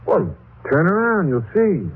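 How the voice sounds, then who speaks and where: An actor playing a guilt-haunted, nervous murderer in an old-time radio horror drama, speaking in halting, stammering phrases. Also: male voice, sits high, big pitch swings. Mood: anxious, scheming. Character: guilt-haunted, jittery murderer narrating his crime. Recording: noisy, muffled old radio broadcast.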